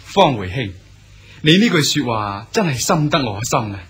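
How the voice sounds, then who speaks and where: A man with a husky voice and a Cantonese accent, playing a genial poet who welcomes guests in a radio drama. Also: medium pitch, big pitch swings, normal speed, fairly bright tone, full character voice.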